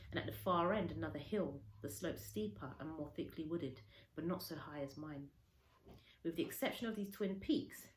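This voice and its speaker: Woman, lowish voice, very animated storybook reading, dramatic emphasis, roomy recording. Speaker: teacher reading a story aloud. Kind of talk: expressive children's storybook reading. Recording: echoey room, faint background noise.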